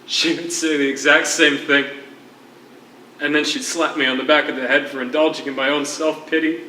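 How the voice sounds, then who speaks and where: A man's voice: a little high, steady tone, sharp tone, slight background noise. Man acting anguished poet prisoner in echoey theatre. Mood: wistful, reverent. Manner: earnest, halting, poetic. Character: anguished male writer imprisoned among artists, grieving his wife, defiant. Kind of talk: theatrical stage play acting. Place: theatre stage. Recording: echoey stage recording from far off.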